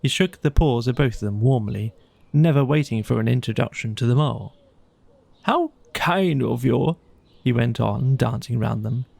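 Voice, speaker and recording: A man's voice: mid-range voice, steady tone, a little fast, creaky, warm tone, no room sound. A man reading scripted narration for a children's bedtime audiobook.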